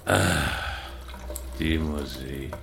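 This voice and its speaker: An actor playing a gruff, laconic man with a gravelly voice, musing in a radio play. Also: a bass voice, extremely slow, music in the background, full character voice. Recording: noisy room with running tap water.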